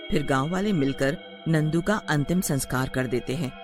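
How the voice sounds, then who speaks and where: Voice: medium pitch, moderate pace. A narrator reading a scripted horror story in the manner of a storyteller. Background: background music.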